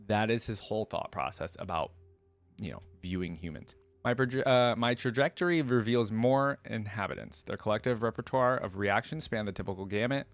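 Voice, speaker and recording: A man's voice: fairly low pitch, no room sound. A man giving reflective reaction commentary and reading game lore aloud in a casual style.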